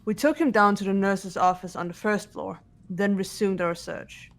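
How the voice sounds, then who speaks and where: A serious teenage girl detective from a video game, acted by a woman. She lays out evidence with composure in a medium-pitched voice. Faint music plays behind her. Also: steady tone, normal speed, lightly acted. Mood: composed, serious. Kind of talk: dramatic game dialogue and recap narration.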